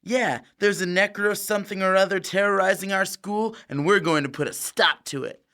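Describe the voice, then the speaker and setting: A woman's voice: medium pitch, moderate pace, fairly bright tone, close to an everyday voice. A woman playing a nervy, wisecracking teenage student sidekick in a comedic audio drama, glib and jokey. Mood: glib, eager.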